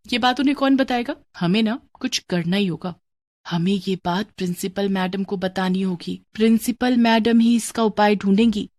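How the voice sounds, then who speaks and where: Woman voicing an indignant, mocking schoolgirl, pitched up. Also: close to an everyday voice. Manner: animated, complaining. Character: indignant, mocking young schoolgirls plotting against their teacher. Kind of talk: cartoon moral story dialogue.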